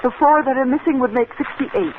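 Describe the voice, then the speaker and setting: A woman's voice: high voice, a little fast, natural voice. An actress plays an anxious American nurse in a 1950s science fiction radio drama. Her voice is heard through a narrow, phone-like band with heavy noise over it. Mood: alarmed. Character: anxious female nurse at a futuristic hospital. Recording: very noisy, tinny 1950s radio recording.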